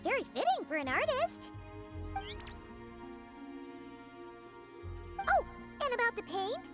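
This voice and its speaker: Squeaky, excitable little fairy girl. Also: a little fast, over music, exaggerated voice. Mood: curious, concerned. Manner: squeaky, exclaiming, refers to herself in third person. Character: tiny excitable childlike fairy companion, female, talkative and dramatic. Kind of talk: video game character dialogue.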